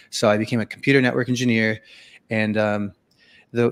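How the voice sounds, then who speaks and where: A man in his thirties with a lowish voice, talking through his story on a video call. He rambles, restarts and fills pauses with "um", in an easy, personal way. His tone stays low and unhurried. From Venezuela. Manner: rambling, many fillers and restarts. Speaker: guest, filmmaker and musician. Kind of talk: casual storytelling interview.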